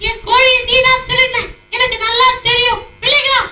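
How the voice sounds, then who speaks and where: Brief: very high squeaky girl puppet, flat scolding tone, echoey and noisy. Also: fairly quick, full character voice. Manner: scolding, squeaky, sing-song. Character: girl Rosie, upset chicken owner. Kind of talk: children's puppet show. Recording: echoey hall, far mic, loud noise.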